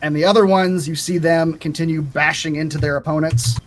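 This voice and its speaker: Higher-voiced male game master. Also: steady tone, a little fast, a little hiss or hum. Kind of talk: narrating a tabletop game.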